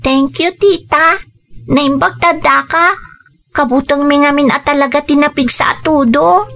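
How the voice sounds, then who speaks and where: High, bright Filipino little girl. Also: unhurried. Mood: meek, grateful. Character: little girl Lala, affectionate younger sister. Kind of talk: Ilocano radio drama acting.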